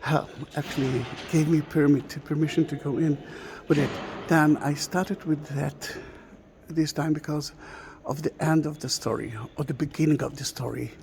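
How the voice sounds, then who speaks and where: A man whose first language is Hebrew, guiding a slow, halting walking tour inside a large stone church, recorded on a camera mic. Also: a little high, fairly even intonation, a little mellow, a little hiss or hum. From Israel. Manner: slow, halting, with many fillers. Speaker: tour guide.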